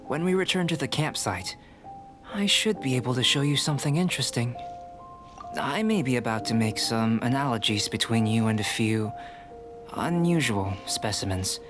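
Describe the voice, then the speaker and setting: A cerebral young male researcher, acted by a man in a video game. He talks in a measured, detached and politely scholarly manner at a medium pitch, with music clearly behind him. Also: lively intonation, close to an everyday voice. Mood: pensive, fascinated.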